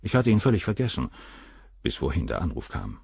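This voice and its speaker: An actor playing a cool, arrogant, middle-aged surgeon who talks down about the women around him, in a radio play. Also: male voice, very deep voice, huge pitch swings, a little fast, gravelly, darkish tone, husky, no room sound, clean studio sound, full character voice. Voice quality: cool, cynical, dismissive.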